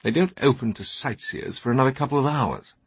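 A man's voice: mid-range voice, brisk, slightly rough, no echo, pitched above the performer's own voice. Man acting pompous upper-class British older knight. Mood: scheming. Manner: pompous, haughty. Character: imperious, scheming older British knight on crutches.

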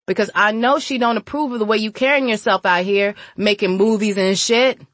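A woman's voice: medium pitch, steady tone, a little fast, fairly bright tone, dry recording, pitched above the performer's own voice, close to an everyday voice. A woman voicing a cold, tough old woman in an audiobook, stern and menacing with street slang. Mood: stern disgust.

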